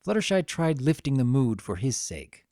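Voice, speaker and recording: Storybook narrator, mid-range voice, animated delivery, very dry sound. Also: mid-range voice, normal speed, no room sound.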